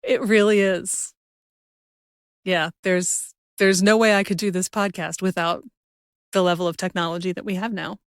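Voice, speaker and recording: A woman's voice: on the low side, steady tone, moderate pace, smooth, no echo, clean studio sound. A woman hosting a podcast, musing aloud in a casual, conversational interview style. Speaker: podcast host.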